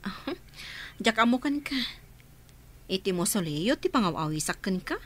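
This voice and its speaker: A woman's voice: mid-range voice, big pitch swings, normal speed. A woman playing a teasing, hesitant sweetheart. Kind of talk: radio drama acting.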